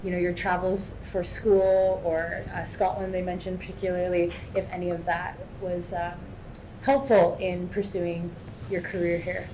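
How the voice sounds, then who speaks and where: A woman's voice: fairly low pitch, little pitch movement, normal speed, background noise. A woman hosting an informal live talk, putting questions to a guest. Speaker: interviewer.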